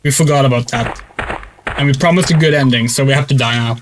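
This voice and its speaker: A man's voice: mid-range voice, quick pace. A man streaming a video game, giving casual commentary in a rambling way with lots of fillers and swearing. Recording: loud game music behind the voice.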